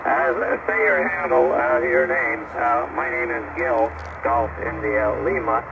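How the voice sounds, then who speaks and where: Old guy in his 80s on ham radio, really gravelly, halting, lots of static. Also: medium pitch, sing-song. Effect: heard over shortwave radio. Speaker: amateur radio operator. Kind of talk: casual ham radio chat. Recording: noisy, static-laden ham radio reception. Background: radio static and hiss.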